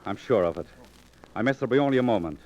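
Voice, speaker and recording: An actor playing a grim, vengeful painter with intense, declamatory delivery in 1940s radio drama style. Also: male voice, lowish voice, animated, quick pace, darkish tone, natural voice. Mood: grim resolve. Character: grim, vengeful male painter hunting an unseen woman. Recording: dull 1940s radio recording.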